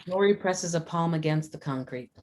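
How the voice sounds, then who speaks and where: A woman's voice: on the low side, moderate pace, a little mellow. A woman narrating scripted stage directions for a screenplay at a table read.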